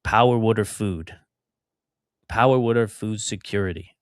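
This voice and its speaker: Rambling low-voiced male podcast host. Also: moderate pace, dry recording, studio quality. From outside Philadelphia. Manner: conversational, rambling, with emphatic repetitions. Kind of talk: solo monologue podcast.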